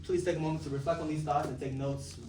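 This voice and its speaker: A man's voice: on the high side, little pitch movement, fast. A young man moderating a student focus group, reading the rules with many ums and uhs. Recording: roomy, distant mic, noisy ambience.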